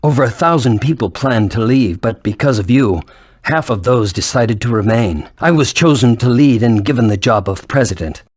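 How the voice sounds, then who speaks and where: A middle-aged male leader from a fantasy game, played by a man actor, with an ordinary mid-pitched voice. He is dignified, fatherly and measured, recorded close and dry. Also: expressive, darkish tone, dry recording, lightly acted. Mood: composed, fatherly. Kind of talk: video game voice acting.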